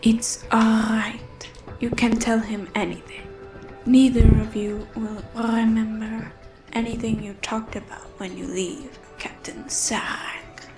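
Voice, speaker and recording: Woman playing slow, mysterious alien barmaid. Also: on the high side, level tone. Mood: reassuring. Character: knowing, mysterious alien female bar server. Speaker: voice actor. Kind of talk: audio drama dialogue. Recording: roomy echo, faint music.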